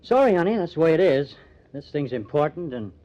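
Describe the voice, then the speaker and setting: An actor playing a cocky young newspaper artist with a sardonic, teasing manner in an old-time radio crime drama. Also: male voice, a little high, big pitch swings, a little fast, mellow. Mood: harried, defensive. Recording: dull lo-fi old radio recording.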